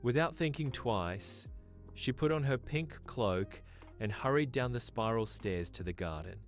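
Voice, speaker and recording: A woman's voice: very deep voice, expressive, a little slow, faint music behind. A woman narrating a scripted bedtime story for children.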